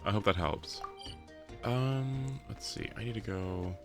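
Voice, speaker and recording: A man's voice: on the low side, slow. A man chatting casually on a gaming stream, rambling as he thinks aloud, with some swearing. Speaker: livestreamer. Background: game music.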